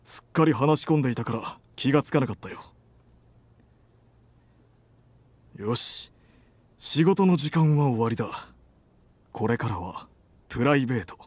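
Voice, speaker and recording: Guy doing a breathy suave ceo boyfriend, 30s, soft but bossy, romance audio drama. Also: medium pitch, no echo, lightly acted. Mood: relaxed, affectionate. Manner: gentle yet commanding. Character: suave, assertive 34-year-old company president, romantic boyfriend.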